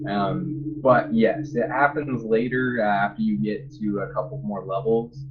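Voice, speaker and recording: A man's voice: lowish voice, steady tone, a little slow. A man talking casually and conversationally as a game developer being interviewed. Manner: informal, conversational. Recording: dull lo-fi voice with faint noise.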